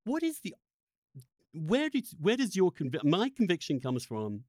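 A man hosting an interview podcast, speaking reflectively and earnestly in halting, emphatic phrases that often break off and restart. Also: sits high, huge pitch swings, darkish tone, no echo, clean studio sound. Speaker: podcast host interviewing a guest.

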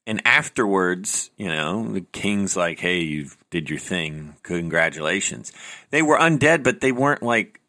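A man's voice: low voice, unhurried. A man co-hosting a podcast, chatting casually and opinionated, often restarting his sentences. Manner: opinionated, animated, trails off into restarts. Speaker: podcast co-host. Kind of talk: casual chat.

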